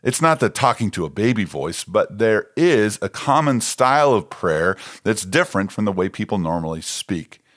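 An American man, a pastor, reading a scripted devotional. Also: fairly low pitch.

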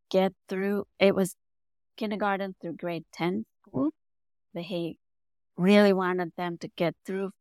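A middle-aged woman from Sri Lanka, a native Tamil speaker with a Sri Lankan accent, recounts her experiences in a relaxed conversational way. Her voice is smooth and sits a little low, and it lifts and gets louder as her stories build. The recording is close and dry. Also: no echo. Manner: animated, rising in pitch and volume when telling a story. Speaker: interview guest. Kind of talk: conversational storytelling.

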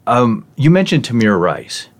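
A man hosting a podcast interview, thoughtfully paraphrasing his guest in a measured way. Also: medium pitch, sing-song. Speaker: podcast host. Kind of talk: interview podcast, calm reflective.

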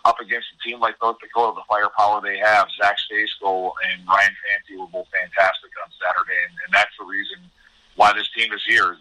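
A man's voice: fairly low pitch, sharp tone, poor audio. An American man giving earnest, emphatic sports analysis in a conversational style as a guest commentator, often repeating words as he goes. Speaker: college hockey commentator as podcast guest.

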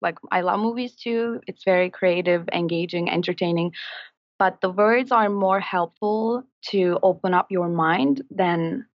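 A woman in her thirties from Turkey, speaking English with a Turkish accent as a podcast guest, in a halting way full of fillers as she searches for words. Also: on the low side, dry recording, muffled recording. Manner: halting, searching for words, many likes and ums. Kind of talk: casual interview chat.

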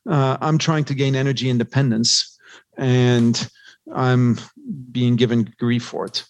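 A man's voice: mid-range voice, little pitch movement, unhurried, no room sound. A man giving conversational financial commentary as an interview guest, talking discursively and posing rhetorical questions. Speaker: podcast guest, investment research analyst.

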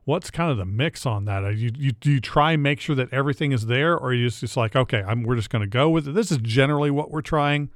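A man's voice: mid-range voice, fast, few pauses, dry recording, clean studio sound. A man hosting a podcast interview, chatty and curious, often restarting his phrases. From Indiana area. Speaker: podcast host. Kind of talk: casual interview.

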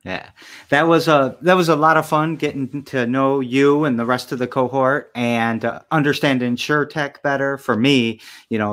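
A man's voice: mid-range voice, a bit bright. Male interviewer, mid-range voice, rambling and filler-heavy, video-call sound.

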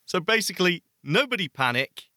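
A man's voice: high voice, sing-song, brisk, sharp tone, dry recording, studio quality. A man co-hosting a podcast, joking playfully with his co-host. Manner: playful, jokey. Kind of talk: casual podcast chat.

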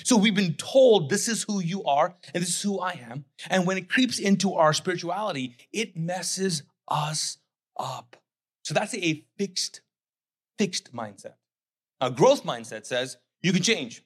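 A man's voice: sits high, expressive, unhurried, husky. A middle-aged man, raised in Kuwait with English not his first language, preaching a sermon in a conversational way, acting out the voices of imagined people and asking the crowd to fill in his words. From Pakistani family, raised in Kuwait. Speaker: pastor.

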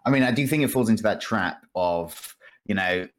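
Low-voiced man chatting as podcast guest. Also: expressive, moderate pace. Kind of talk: casual chat, informal game review.

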